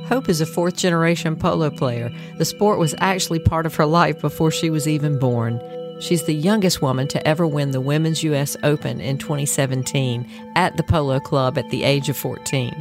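A woman's voice: sits low, a little fast, music in the background. A woman hosting a podcast, reading a scripted introduction and asking her guests polished, upbeat questions. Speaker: podcast host.

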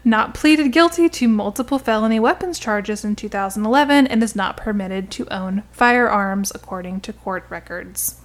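A woman co-hosting a podcast in casual comedic chat, giggly with deadpan jokes, sometimes reading out news. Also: medium pitch. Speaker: podcast co-host.

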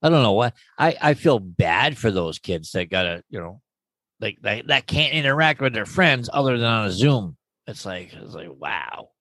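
Jokey fifty-something man, lively voice. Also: on the low side, normal speed, no room sound. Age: 50s. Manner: joking, rambling, goes off on tangents. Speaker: podcast co-host. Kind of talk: casual chat.

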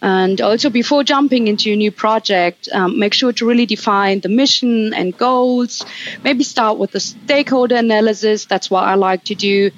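A woman from Germany, a project manager, giving earnest, measured career advice as a podcast interview guest. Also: mid-range voice, lo-fi sound. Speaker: project manager at a recruitment firm.

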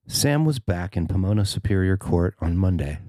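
A man's voice: low voice, animated, normal speed, mellow, no room sound, studio quality. A man narrating a scripted news feature as its writer and reader.

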